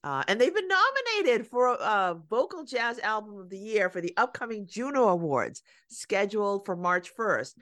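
A woman hosting an interview, asking measured, deliberate questions. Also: mid-range voice, sing-song, fairly bright tone, no echo, clean studio sound. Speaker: podcast host. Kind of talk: interviewing a guest.

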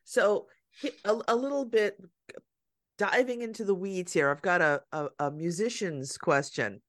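A woman hosting an interview, asking measured, deliberate questions. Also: medium pitch, fairly bright tone, no echo, clean studio sound. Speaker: podcast host. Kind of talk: interviewing a guest.

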